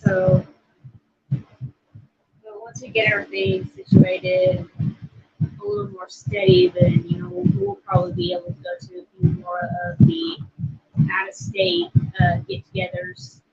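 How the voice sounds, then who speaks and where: A woman's voice: very high voice, big pitch swings, a little slow, creaky, lo-fi sound, slight background noise. A woman from Oklahoma co-hosting a casual livestream, rambling and reading viewer comments aloud. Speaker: livestream co-host.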